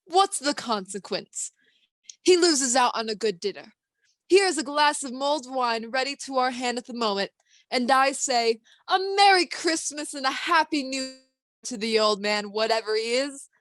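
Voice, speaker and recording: A teenage woman acting a good-natured, forgiving young gentleman in a radio play, animated and good-humored. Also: sits high, normal speed, no echo. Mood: cheerful, forgiving.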